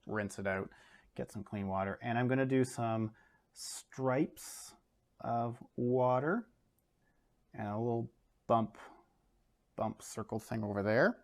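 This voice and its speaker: Relaxed man demoing watercolour. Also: mid-range voice. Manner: relaxed, talks through steps with many fillers. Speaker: art educator. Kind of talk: casual art demo. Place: home studio, indoors.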